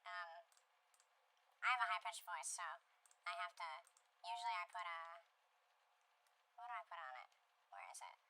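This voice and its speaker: A woman's voice: fairly low pitch, normal speed, pauses often, sharp tone, rough recording. A young woman streaming while she makes music, rambling and thinking aloud as she works, with lots of restarts. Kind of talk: casual live-stream chat while working.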